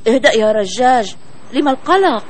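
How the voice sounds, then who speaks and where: A woman's voice: fairly high pitch, animated, brisk, a faint crowd, a little hiss or hum, lightly acted. A woman voicing a small, friendly, forgiving bird in a children's cartoon. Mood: kindly.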